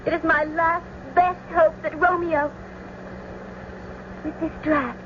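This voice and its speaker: A high-voiced young noblewoman of classical radio tragedy, despairing and devoted. She declaims her lines with solemn, poetic weight. The old recording is dull, with clear noise over it. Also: level tone, takes it slowly. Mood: anxious, resolute.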